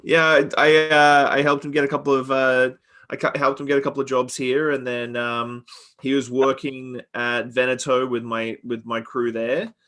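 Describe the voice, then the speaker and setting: A man's voice: mid-range voice, little pitch movement, moderate pace, a bit bright. A man who grew up in Australia, hosting a podcast in casual chat and rambling with many fillers and restarts. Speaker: podcast host. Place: Victoria, Canada.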